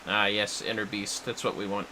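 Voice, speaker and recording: A man's voice: lowish voice, flat delivery, moderate pace, bright, forceful tone, slight background noise, rough recording. A man hosting a gaming livestream with casual commentary, rambling a little as he plans aloud. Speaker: livestream host.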